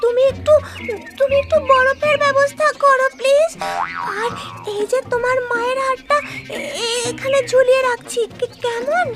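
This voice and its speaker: A performer voicing a tiny, plucky, excitable childlike magical creature in a children's cartoon, squeaky and dramatic. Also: moderate pace. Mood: pained, pleading. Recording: music playing behind the voice. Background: loud playful cartoon music.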